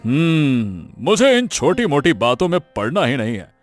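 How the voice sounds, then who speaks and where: A man acting a smug, highish-voiced village villain. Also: sing-song, measured pace. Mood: dismissive. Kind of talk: animated moral story dialogue in Hindi. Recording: music behind the voice.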